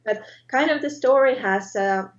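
A woman in her thirties speaking English with a Finnish accent, halting and often restarting her sentences as she searches for words. She talks from a home studio over a webcam, and the sound is dull and lo-fi with a roomy echo. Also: high voice. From Finland. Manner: hesitant, searches for English words, frequent self-corrections. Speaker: guest artist. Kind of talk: casual interview chat. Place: home art studio indoors. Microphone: webcam on video call.

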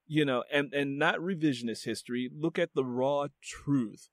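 A man's voice: fairly high pitch, normal speed, no room sound, studio quality. A man hosting a podcast interview, musing reflectively and leaning on "you know" as he talks. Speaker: podcast host. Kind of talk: casual interview talk.

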